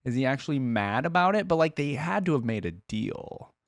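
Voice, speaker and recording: A man's voice: mid-range voice, very animated, fairly quick, no echo, studio quality. A man hosting a reaction video, giving casual commentary and musing aloud as he goes. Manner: musing, thinking aloud, repeats phrases he hears.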